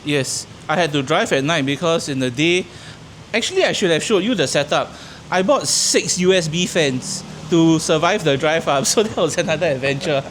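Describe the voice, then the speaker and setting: A man from Singapore casually telling a friend about his long drive, relaxed and a bit rambling. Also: a little high, moderate pace, a little hiss or hum. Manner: relaxed storytelling, a few fillers. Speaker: road-trip companion. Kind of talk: casual chat.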